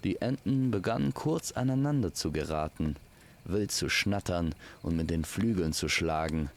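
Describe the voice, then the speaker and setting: A man's voice: sits low, moderate pace. A man narrating a scripted fairy tale, speaking intimately to the listener as to a friend. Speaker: storyteller.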